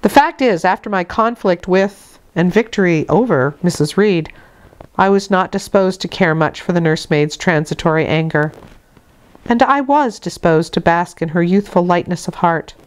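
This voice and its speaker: A woman reading a literary novel aloud as expressive narration, with measured, deliberate phrasing. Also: on the low side. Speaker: audiobook reader.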